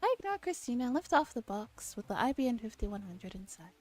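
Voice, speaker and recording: Woman, high mellow voice, gentle ASMR read-aloud, streamer, background music. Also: big pitch swings, fairly quick. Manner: soft, gentle delivery. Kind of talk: relaxed ASMR visual novel read-aloud with chat asides.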